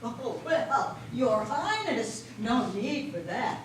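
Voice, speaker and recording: A man's voice: extremely high pitch, sing-song, slow pace, exaggerated voice. A man on stage playing a laid-back, over-friendly young stoner with slangy, drawling speech. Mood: let down. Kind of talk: amateur readers theater stage performance. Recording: echoey hall, distant mic, clear noise.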